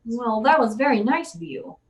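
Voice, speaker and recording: A woman's voice: fairly high pitch, big pitch swings, moderate pace. A woman playing a wry, slangy hardboiled male private eye in an old-time radio detective drama. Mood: uneasy. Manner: slangy, wry, tough-talking. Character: hardboiled wisecracking male private eye, narrating his own case.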